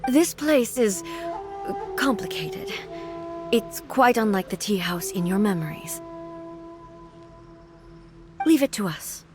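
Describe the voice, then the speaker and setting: An actress playing a regal immortal warrior ruler in a video game, speaking in a measured, formal and solemn way. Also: female voice, a little high, animated, over music. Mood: reassuring, firm. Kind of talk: dramatic game voice acting.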